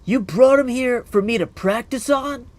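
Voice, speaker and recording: A man's voice: extremely high pitch, unhurried, darkish tone, pitched above the performer's own voice. A man voicing an earnest young woman, a caring but forthright witch in a video game, speaking emphatically. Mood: indignant. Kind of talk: video game character acting.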